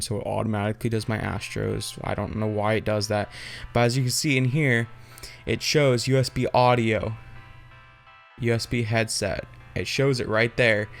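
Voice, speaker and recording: A man's voice: on the low side, creaky. Casual rambling man over music. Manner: informal, rambling, hesitant explaining. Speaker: tutorial host. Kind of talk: casual unscripted screen-capture tutorial.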